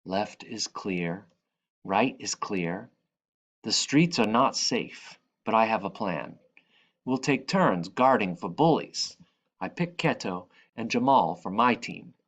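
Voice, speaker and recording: A man reading a children's picture book aloud, expressively, with dramatic and deliberate phrasing. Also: lowish voice, lively intonation, a bit bright. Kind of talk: expressive read-aloud narration.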